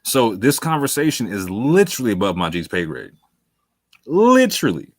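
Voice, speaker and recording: A man hosting a late-night livestream, arguing his points with heavy repetition and calling the audience fam. His voice sits fairly low and sounds tired after hours on the air. The sound is close and dry, with no room echo. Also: huge pitch swings, normal speed. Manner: emphatic, repeats phrases, calls listeners "fam". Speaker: host of a debate talk show.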